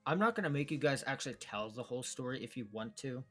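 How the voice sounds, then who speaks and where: A man running a tabletop game as game master over voice chat, chatty and loose, laughing often and slipping into quick character voices. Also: mid-range voice, animated, music in the background. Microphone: home microphone on a voice call.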